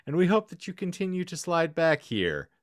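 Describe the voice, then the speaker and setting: A man's voice: on the high side, very animated, normal speed, dry recording, studio quality. A man hosting a casual comedic podcast, bantering in a jokingly grandiose, florid way. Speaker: podcast host. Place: home room, online group call. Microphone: close podcast microphone.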